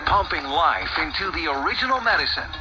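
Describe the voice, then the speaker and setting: A man's voice: a little high, expressive, brisk, dull audio, over loud music. A man announcing a radio show promo in a dramatic, showy way.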